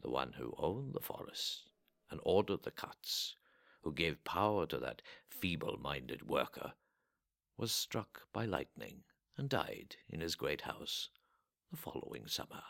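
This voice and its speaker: A man reading a literary story aloud in a solemn, deliberate way, breaking phrases with long pauses. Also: rumbling low, sing-song, vocal fry, a bit bright. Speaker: narrator reading a translated story.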